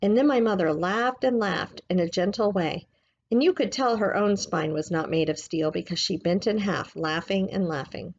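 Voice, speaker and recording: A woman's voice: lowish voice, moderate pace. Woman reading aloud, slightly dull sound. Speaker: read-aloud teacher. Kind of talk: book read aloud with explanatory asides.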